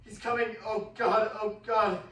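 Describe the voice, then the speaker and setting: An actor playing a terrified young Englishman who recalls horrors in a halting, repetitive radio-drama delivery. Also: high voice, little pitch movement, pushed and bright. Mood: mounting panic. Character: terrified young Englishman recalling a vampire's castle under hypnosis. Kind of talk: stage-like radio drama acting. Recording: roomy, distant mic, faint noise.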